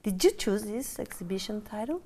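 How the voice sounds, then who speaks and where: A woman's voice: mid-range voice, lively intonation, moderate pace, crowd noise. A woman leads the interview in a middle-range voice. She is supportive and often repeats her guest's words back to her.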